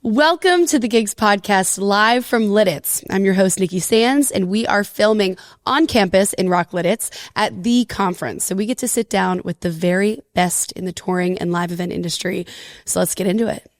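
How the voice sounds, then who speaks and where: A woman's voice: medium pitch, moderate pace. A young adult woman hosting a podcast interview, upbeat and enthusiastic. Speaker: podcast host. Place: conference venue, recorded live.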